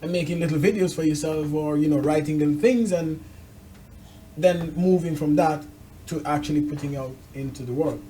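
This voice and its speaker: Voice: medium pitch, normal speed, warm tone, a little hiss or hum, poor audio. A show host conducting a casual, conversational interview, asking questions and sharing personal thoughts.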